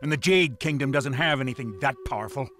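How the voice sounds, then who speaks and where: An actor playing an imposing, menacing pirate warlord in a video game, interrogating in a domineering, commanding way. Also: male voice, mid-range voice, quick pace, natural voice. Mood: demanding. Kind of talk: video game villain acting.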